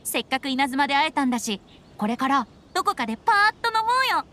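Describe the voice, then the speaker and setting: A woman's voice: sits high, sing-song, fast talker, sharp tone, heavily acted. A woman voicing a carefree, playful teenage boy bard in an anime-style video game, with a breezy manner. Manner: breezy, playful, self-admiring. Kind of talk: anime game dialogue acting.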